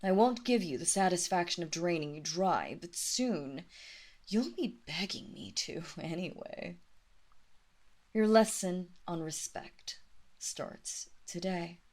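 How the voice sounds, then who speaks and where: Slow, low-voiced vampire mistress, acted by a woman. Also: lively intonation, darkish tone. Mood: cold, menacing. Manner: slow, commanding, deliberate, with drawn-out words and breathy whispers. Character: haughty, seductive female vampire lord, mistress of a great house. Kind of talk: roleplay audio drama acting.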